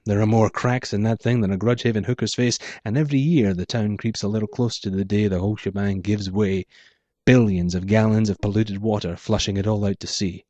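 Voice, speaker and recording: A man's voice: fairly low pitch, fairly even intonation, a little fast, mellow, no room sound, lo-fi sound. A man, the author, reading his own first-person noir story aloud in a hardboiled manner.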